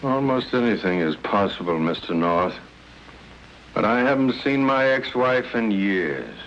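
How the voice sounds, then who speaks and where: Low, bitter, menacing recluse. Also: male voice, animated, a bit bright, highs cut off. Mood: cool, threatening. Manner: coldly formal, measured. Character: reclusive, bitter, menacing fire-scarred divorced man. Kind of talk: 1940s-50s radio mystery acting. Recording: noisy old radio recording.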